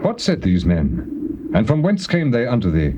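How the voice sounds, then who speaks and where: Isaiah, stern prophet with sweeping delivery. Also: male voice, mid-range voice, wildly swooping pitch, moderate pace. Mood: authoritative. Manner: declamatory, grave, emphatic. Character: Isaiah, stern male prophet proclaiming divine judgment. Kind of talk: dramatized King James scripture, theatrical declamation. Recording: dull, noisy old cassette tape.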